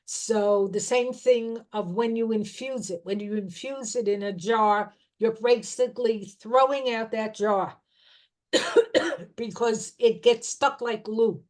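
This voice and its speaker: A middle-aged woman talking informally about herbal remedies, explaining slowly and restarting her sentences as she goes. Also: a little high, a little mellow. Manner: slow, unhurried explaining with restarts. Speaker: herbal educator.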